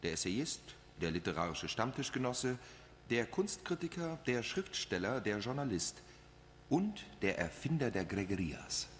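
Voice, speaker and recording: Low, animated male narrator played in a hall. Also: unhurried. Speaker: radio documentary narrator. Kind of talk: scripted documentary narration. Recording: radio playback in reverberant hall, faint noise.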